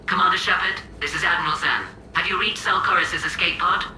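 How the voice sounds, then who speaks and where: Haughty alien admiral over radio. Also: female voice, fairly low pitch, steady tone, fairly quick, pushed and bright, reverberant, soft background music, low-quality recording. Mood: cold, imperious. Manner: formal, clipped, imperious. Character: cold, haughty female alien admiral, imperious. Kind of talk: dramatic video game acting.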